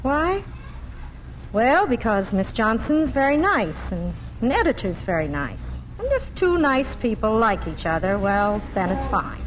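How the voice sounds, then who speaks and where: Farm wife, kindly, practical, highish voice, swooping intonation, vintage radio acting. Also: female voice, very animated, a little slow. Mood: kindly, interested. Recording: noisy old 1940s radio recording.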